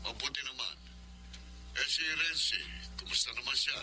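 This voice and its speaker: Stern older Filipino boss and father, medium pitch, very sharp, phone effect, radio drama. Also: moderate pace. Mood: concerned, demanding. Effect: telephone filter. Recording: thin, distant phone call, faint noise.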